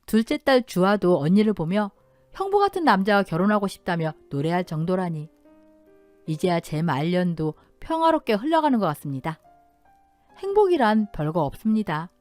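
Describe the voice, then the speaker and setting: A woman's voice: medium pitch, big pitch swings, normal speed, a little mellow, faint music behind. A woman reading a scripted first-person family story as a radio drama narrator.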